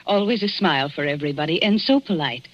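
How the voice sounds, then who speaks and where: A breathy village gossip. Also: female voice, sits low, expressive, fast talker. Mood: gossipy. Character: gossiping village woman, eager and scandal-hungry. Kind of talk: 1940s radio drama acting. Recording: muffled 1940s radio broadcast from off-mic.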